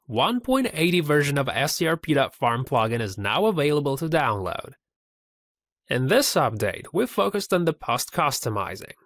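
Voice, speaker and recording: A man presenting a scripted software tutorial voice-over, upbeat and giving step-by-step instructions. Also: mid-range voice, dry recording, studio quality.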